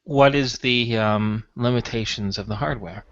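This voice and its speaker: A man's voice: medium pitch, measured pace, dry recording, dull audio. A man chatting casually as a player in a tabletop role-playing game, describing what his character does.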